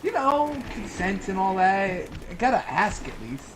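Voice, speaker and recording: A man's voice: high voice, very animated, unhurried, noisy background. A young man playing a game on stream, crude, playful and self-mocking, swearing freely. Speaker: guest co-player. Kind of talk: casual gaming chat.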